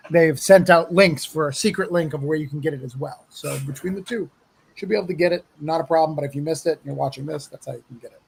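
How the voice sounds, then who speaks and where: A man hosts a casual livestream in a husky voice that sits a little high. There is a gravelly fry to it, and he delivers announcements informally, piece by piece. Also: poor audio. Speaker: livestream host and comic project organiser.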